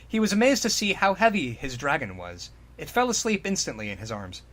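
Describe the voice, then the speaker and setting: A man's voice: fairly high pitch, sing-song, fairly quick, fairly bright tone. A man co-hosting a comic story reading, narrating dramatically and breaking into mocking, exclamatory, sweary asides. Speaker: podcast co-host and reader.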